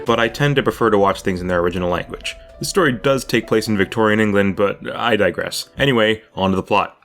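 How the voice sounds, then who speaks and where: Low-voiced man narrating over music. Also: fast, a bit bright. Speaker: video essayist, retrospective narrator. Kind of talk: scripted retrospective narration with dry jokes.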